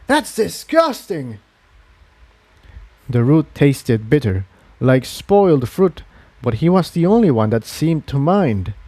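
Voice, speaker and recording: A man's voice: medium pitch, expressive, unhurried, dark tone, no echo. A man reading scripted fiction aloud as its narrator.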